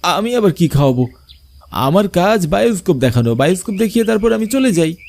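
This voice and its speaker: A man playing a travelling bioscope showman, narrating an eerie cartoon story in the first person with expressive delivery. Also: high-pitched, quick pace, vocal fry, heavily acted. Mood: pleading. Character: travelling village bioscope showman telling his own eerie tale.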